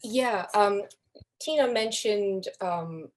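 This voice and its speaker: A woman's voice: medium pitch, slow. A young woman speaking as a podcast guest, explaining things in a casual, conversational way. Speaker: podcast guest, online community moderator.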